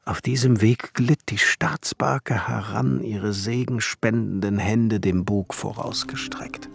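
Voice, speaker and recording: An actor playing a proud, brooding seventeenth-century alchemist and glassmaker in a poetic radio play, solemn and halting, often sinking towards a whisper. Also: male voice, fairly low pitch, steady tone, vocal fry, husky, dry recording, faint music behind, exaggerated voice. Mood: ominous, musing.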